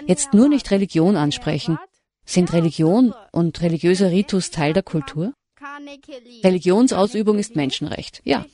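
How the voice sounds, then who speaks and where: A woman narrating a radio feature in a reflective, questioning, measured style. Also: lowish voice, darkish tone. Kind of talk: scripted documentary narration.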